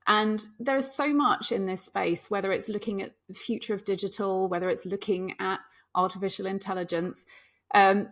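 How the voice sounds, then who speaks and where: Frank woman occupational psychologist. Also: medium pitch. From Hong Kong. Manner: frank and candid, with dry asides. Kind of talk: podcast interview.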